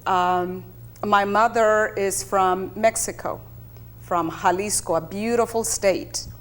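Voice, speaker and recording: Measured woman from South Texas, native Spanish speaker. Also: mid-range voice, steady tone. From South Texas, United States. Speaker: interview guest, bilingual teacher. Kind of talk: personal recollection in an interview.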